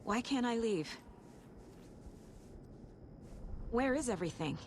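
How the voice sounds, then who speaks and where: A woman's voice: fairly high pitch, lively intonation, a little fast, close to an everyday voice. An actress playing a distraught investigator trapped in her own mind, anguished and searching as she talks to herself in a video game. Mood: confused, anxious. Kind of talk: dramatic game voice acting. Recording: loud noisy ambience behind the voice.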